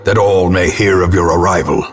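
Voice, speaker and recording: An actor voicing a grim, ominous old ghostly ancestor as a gothic horror game narrator, solemn and theatrical, with a gravelly, husky voice. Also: male voice, low voice, steady tone, faint music behind. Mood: grave, commanding. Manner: solemn, portentous, deliberate delivery.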